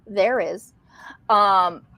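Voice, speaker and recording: A woman's voice: mid-range voice, extremely slow, pushed and bright, no room sound. A woman, co-hosting a casual live discussion about books. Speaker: co-host of a book discussion livestream.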